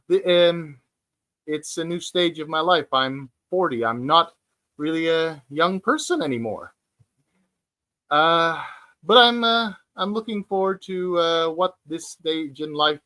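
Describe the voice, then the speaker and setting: Slow, bright-voiced hesitant man at forty. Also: a little high. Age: 40s. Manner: hesitant, many fillers and restarts; reads out viewer comments. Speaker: livestream host. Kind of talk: casual livestream chat. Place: at home, sitting on the floor.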